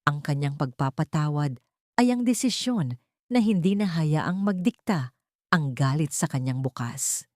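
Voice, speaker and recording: A woman narrating a sentimental story from a script in a measured, solemn, poetic manner. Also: low voice, animated, a little mellow, no echo, clean studio sound.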